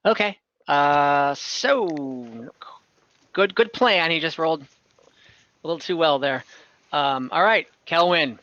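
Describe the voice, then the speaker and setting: Male game master, bright dry tone, relaxed, laughs a lot. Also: on the high side, no echo, highs cut off. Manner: relaxed, quick rules checks with little laughs. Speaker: dungeon master. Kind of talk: running an online tabletop game.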